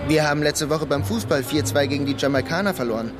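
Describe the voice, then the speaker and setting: An actor plays a lovelorn young man stuck in a menial job, sardonic and bruised. His brooding delivery suits a radio crime drama. Music plays clearly beneath his voice. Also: on the high side, quick pace, natural voice. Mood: sarcastic, wounded. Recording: radio play with loud music underneath.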